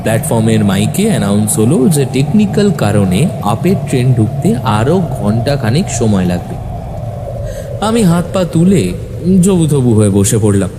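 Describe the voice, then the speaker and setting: A man narrating a first-person horror story in a suspenseful, expressive, dramatic way. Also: mid-range voice, moderate pace. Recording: faint background noise.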